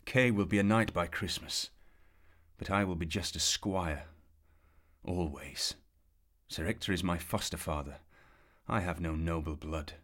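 A man's voice: low-pitched, unhurried, fairly bright tone. Arthur: a man doing a sulky young squire. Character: young boy squire, resentful, stubborn.

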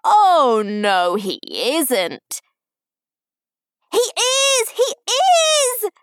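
A woman voicing an excitable, indignant five-year-old girl in an audiobook, with emphatic childish babble. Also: high voice, measured pace, no echo, pitched above the performer's own voice, full character voice. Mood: indignant, shrieking. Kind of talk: audiobook character voice.

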